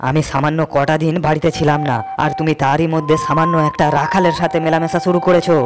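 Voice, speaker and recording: A man's voice: a little high, level tone, fast talker, noisy background, natural voice. A man voicing a stern, proud king in a storybook cartoon, speaking in a commanding, scolding way.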